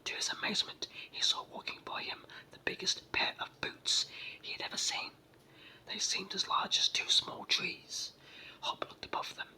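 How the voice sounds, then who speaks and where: A person with a sore throat and a cold, whispering a children's story in a raspy, froggy voice as ASMR narration. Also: moderate pace, rough recording. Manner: hushed whispering throughout.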